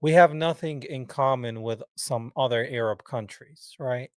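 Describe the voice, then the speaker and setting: A man's voice: medium pitch, unhurried, clear, resonant tone, no echo, studio quality. A man from Palestine hosting a podcast, chatting casually and emphatically with his guest. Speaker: podcast host. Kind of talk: casual interview chat.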